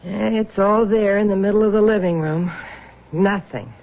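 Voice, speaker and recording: An actress in a radio drama playing a bitter, grasping crook's widow with a biting, sarcastic way of talking. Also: female voice, medium pitch, moderate pace, lightly acted. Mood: scornful. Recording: muffled phone-like old radio broadcast.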